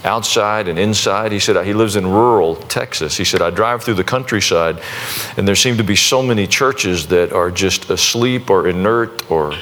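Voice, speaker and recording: A man preaching to his congregation in a conversational, folksy way, with many restarts and a warm self-deprecating humor, then leading a prayer. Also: sits low, normal speed, few pauses. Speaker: pastor.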